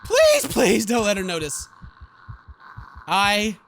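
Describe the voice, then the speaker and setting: A man's voice: high voice, wildly swooping pitch, takes it slowly, vocal fry, a bit bright, a little hiss or hum. A man doing jokey, exclamatory, slangy commentary on a horror game while reading its dialogue aloud. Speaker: let's player.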